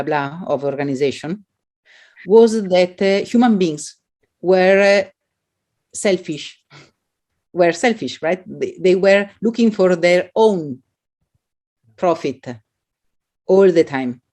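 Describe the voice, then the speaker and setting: A woman with an Italian accent, speaking as an academic who answers questions in an expressive, halting way. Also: lowish voice.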